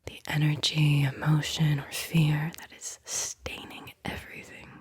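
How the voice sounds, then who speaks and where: ASMR host: woman, low breathy whisper, playful and teasing, close mic. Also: level tone, a little slow. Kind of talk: whispered ASMR guided visualization.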